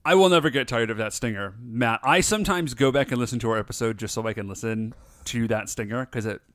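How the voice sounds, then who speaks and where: A man's voice: medium pitch, quick pace, fairly bright tone, no room sound. A man co-hosting a podcast, chatting casually in a playful, teasing way. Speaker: podcast co-host. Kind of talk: casual chat. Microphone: recording earphones, studio mic.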